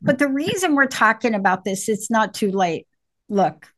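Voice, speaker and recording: A middle-aged woman, a psychologist, co-hosting a call-in show in a playful, bubbly way with rapid bursts of talk. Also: on the high side, expressive, fairly bright tone. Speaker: co-host, psychologist. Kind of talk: call-in radio show chat.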